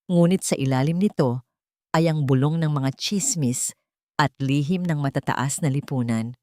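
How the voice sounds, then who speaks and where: A woman reads out a dramatic audio story in a measured, steady storytelling manner. Her voice sits low for a woman, and the recording is close and completely dry, with no room sound. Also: no room sound. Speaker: narrator. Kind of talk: scripted dramatic narration.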